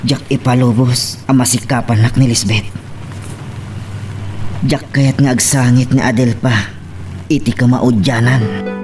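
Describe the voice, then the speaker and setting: A man's voice: medium pitch, steady tone, unhurried, pauses often, close to an everyday voice. A man with an Ilocano Filipino accent acting a brooding, lovesick man in a romance drama. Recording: faint background noise.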